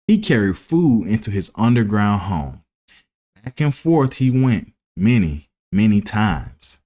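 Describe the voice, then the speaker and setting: A man narrating a scripted children's story as a read-aloud, deliberate and expressive. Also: lowish voice. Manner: deliberate, expressive, enunciating for young listeners.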